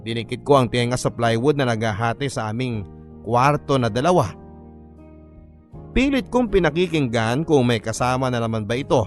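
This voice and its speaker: A man's voice: medium pitch, lively intonation, normal speed, soft background music. A man with a Filipino accent reading a scripted first-person story as narrator.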